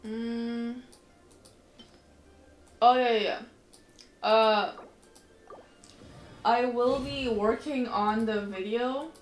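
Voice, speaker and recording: A woman's voice: fairly high pitch, steady tone, drawn-out words, pauses often, echoey room. A woman livestreamer chatting casually while playing a game, rambling in a slangy, informal way. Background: faint game music.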